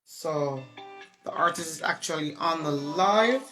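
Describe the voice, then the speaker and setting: Hoarse woman chatting on a live stream. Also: low voice, unhurried. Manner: relaxed, chatty, with throat-clearing and fillers. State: hoarse from a cold. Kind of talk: casual live-stream chat. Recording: roomy sound with loud music behind.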